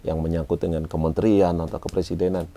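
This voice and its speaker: A man's voice: sits low, flat delivery, fast talker, dark tone. A man in his forties with a Malang Javanese accent, chatting casually as an interview guest, self-mocking and slipping in Javanese words. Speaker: guest, event MC and former radio announcer. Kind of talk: relaxed interview chat.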